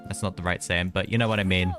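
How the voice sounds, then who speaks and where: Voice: deep voice, steady tone, fast, over music. A game streamer giving casual, chatty live commentary with sympathetic reactions.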